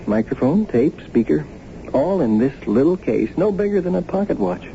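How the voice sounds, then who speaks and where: A man's voice: fairly high pitch, very animated, normal speed, gravelly, mellow. A man acting in a radio drama as a practical, easygoing husband who talks in a casual, matter-of-fact way. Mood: relaxed. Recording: muffled, noisy old radio broadcast.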